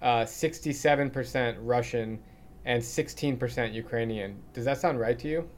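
A man's voice: medium pitch, slight background noise. A man hosting a podcast who asks questions in a curious, easygoing way and reacts openly to the answers. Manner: curious, casual, quick to react. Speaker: podcast host. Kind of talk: casual interview conversation.

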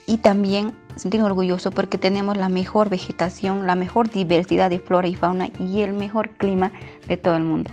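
A woman's voice: medium pitch, flat delivery, fairly quick, warm tone, soft background music. A woman with a Bolivian accent giving a personal statement.

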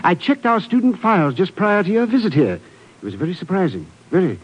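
An actor playing an absent-minded, flustered professor in a 1950s radio drama, dithering and repeating himself. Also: male voice, sits high, sing-song, brisk, slightly rough, heavily acted. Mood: muddled. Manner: dithering, repetitive, easily distracted. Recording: muffled old radio recording.

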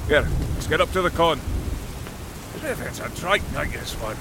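An actor playing a breezy Scottish ship captain who talks in a brisk, jokey way. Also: male voice, fairly high pitch, lively intonation, background noise. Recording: rain behind.

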